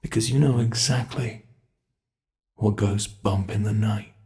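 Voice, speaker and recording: ASMR horror role: British man plays a cruel male monster jailer, gravelly, slow and taunting. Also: on the low side, steady tone, darkish tone. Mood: gloating menace. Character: cruel gloating male monster keeping a human captive.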